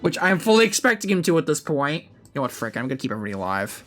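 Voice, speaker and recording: Animated streamer thinking aloud over music. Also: mid-range voice, rapid-fire. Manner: hesitant, thinking aloud, mildly profane. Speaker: game streamer. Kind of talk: casual live game stream chat.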